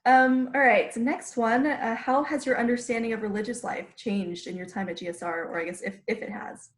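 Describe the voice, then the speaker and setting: A woman's voice: fairly high pitch, fairly even intonation, brisk. Friendly woman interviewer, echoey room. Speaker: interview host. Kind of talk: conversational interviewing.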